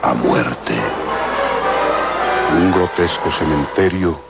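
A man's voice: rumbling low, drawn-out words. A man narrating a radio serial in a solemn, theatrical, scripted style. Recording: dull old radio recording, loud music.

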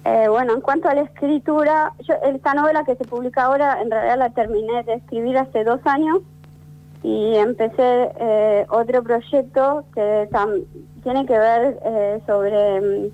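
A woman guest, French by first language, speaking thoughtfully with many pauses and restarts in an interview. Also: a little high, fairly even intonation, dull audio. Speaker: interview guest, actress and writer. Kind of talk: conversational interview.

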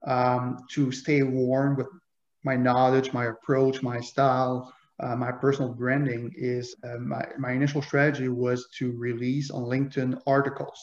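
A man whose first language is French tells a story as a guest author, animated and full of analogies and fillers. His voice sits at a middle pitch. The sound is roomy and echoey, with a dull, lo-fi tone. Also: normal speed. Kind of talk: conversational interview.

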